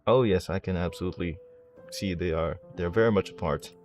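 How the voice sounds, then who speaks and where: A man's voice: deep voice, brisk, a little mellow. A man giving casual gameplay reactions, joking and self-deprecating, often stammering and exclaiming as he goes. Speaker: video game streamer. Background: game music and dialogue.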